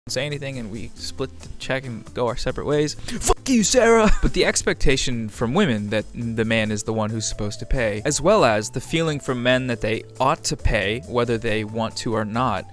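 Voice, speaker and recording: A man's voice: medium pitch, expressive, moderate pace, few pauses, faint music behind. A man talking through his view in a commentary video, explaining in a lightly joking way.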